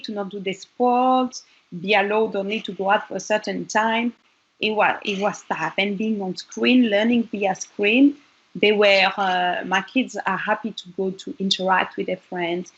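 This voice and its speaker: A woman's voice: medium pitch, muffled recording. A woman from Congo, a model and charity founder, speaking earnestly in a casual interview with frequent restarts.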